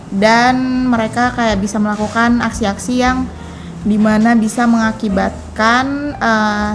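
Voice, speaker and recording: A woman in her twenties talks through her answers in a relaxed, conversational way, often hesitating with little filler sounds. Her pitch sits in a middle range, with faint music in the background. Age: 20s. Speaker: interviewee.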